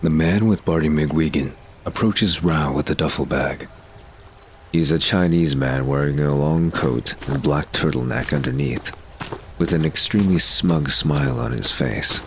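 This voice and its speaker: A man's voice: very deep voice, measured pace, slight background noise. A man reading scripted narration for an audio drama.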